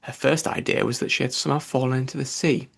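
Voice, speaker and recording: A man's voice: medium pitch, steady tone, fast, creaky, husky. A man reading a children's classic aloud as scripted audiobook narration.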